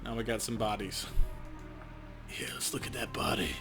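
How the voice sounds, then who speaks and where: A man's voice: lowish voice, fairly even intonation, normal speed, pushed and bright, soft background music. A man co-hosting a let's play, chatting playfully and reading on-screen game text aloud. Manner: jokey, playful. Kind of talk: casual gaming chat and narrating on-screen text.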